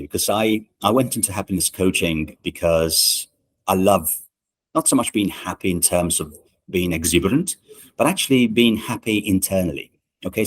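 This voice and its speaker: A middle-aged man from Italy talks in a deep, low voice worn smooth by life in Britain. He answers a podcast host thoughtfully, pausing often on fillers and restarting phrases. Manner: measured, with many "uh" and "um" pauses and restarts. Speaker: management consultant and guest. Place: podcast studio.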